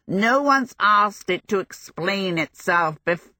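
A woman voicing a male fire demon who speaks briskly and protectively. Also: mid-range voice, lively intonation, bright, forceful tone, dry recording, studio quality.

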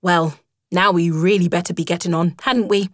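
A hearty, resolute water rat with a fairly high, lively voice that jumps around in pitch, voiced by a woman reading a storybook. She coaxes and cheers in a brisk, friendly way. The recording is close and dry. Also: no room sound. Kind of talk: storybook character voice.

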